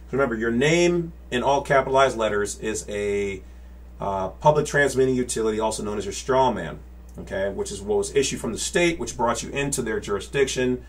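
A man in his forties explaining to a webcam in his home office, gesturing with his hands and checking in with "all right?" and "okay?" after his points. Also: on the low side. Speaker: channel host. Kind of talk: casual explainer to camera. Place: home office with bookshelves and monitors. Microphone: webcam at desk.

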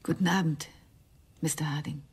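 An actress playing a schemer's obedient wife and accomplice with a soft voice in a German radio crime drama. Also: female voice, low voice, fairly even intonation, moderate pace, husky, full character voice. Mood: expectant, compliant.

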